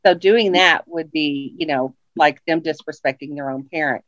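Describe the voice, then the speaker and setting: A woman's voice: sits low, normal speed, fairly bright tone. A middle-aged woman with a commanding manner, giving advice in a casual interview chat, on a dull lo-fi recording.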